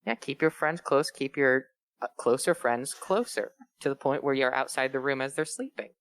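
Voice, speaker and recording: A man's voice: mid-range voice, moderate pace, fairly bright tone, no echo. A man chatting casually and riffing with dry, absurd jokes. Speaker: co-host of a comedy reading show. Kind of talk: casual joking banter.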